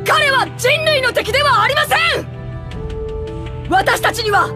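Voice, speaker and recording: Impassioned, very high-pitched boy soldier. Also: a little slow. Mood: urgent pleading. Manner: impassioned, declamatory, urgent. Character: earnest teenage boy soldier pleading desperately. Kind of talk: dramatic Japanese anime game acting. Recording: loud orchestral music behind. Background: loud dramatic music.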